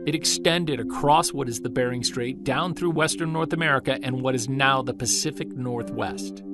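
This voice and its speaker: An actor playing a driven, defensive Bigfoot researcher, delivering a deliberate, emphatic scripted monologue in the manner of a documentary. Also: male voice, medium pitch, music in the background, lightly acted. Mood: insistent, lecturing. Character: driven, defensive male Bigfoot researcher recording his case. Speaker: narrator of a found-tape audio drama.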